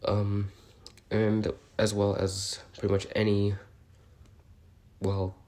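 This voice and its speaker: A man's voice: fairly low pitch, completely flat delivery, slow, dark tone. A man playing an awkward, curious, bookish researcher recording an audio log, explaining in a hesitant, rambling, politely apologetic way. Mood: curious, musing. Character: male researcher logging species notes, awkward, curious, bookish. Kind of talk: in-character audio log, semi-improvised explaining.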